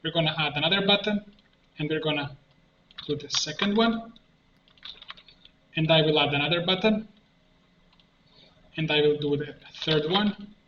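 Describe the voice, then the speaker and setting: A man giving an unscripted screen-share software tutorial, explaining step by step in a halting way. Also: on the high side. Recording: muffled roomy mic with faint noise.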